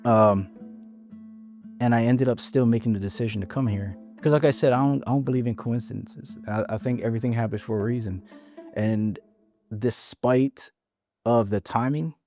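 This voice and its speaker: A man's voice: mid-range voice, fairly even intonation, moderate pace, a little mellow, soft background music. A man from Hawaii in his forties, a podcast guest frankly telling his story and often restarting his words. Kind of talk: casual interview storytelling.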